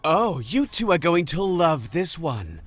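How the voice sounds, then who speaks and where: A man playing a sly, persuasive god of deception in a fantasy audio drama, talking in a deliberate, theatrical way. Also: a little high, big pitch swings. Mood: pleased. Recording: music under the voice.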